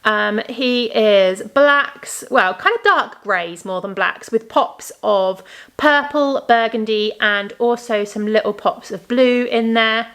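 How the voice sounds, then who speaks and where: A woman's voice: on the high side, slow, fairly bright tone, clean tone. A woman hosting a crafting podcast, chatting enthusiastically and rambling as she shows off her work, her voice slightly worn. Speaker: crafting podcast host and yarn dyer. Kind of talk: casual show-and-tell chat.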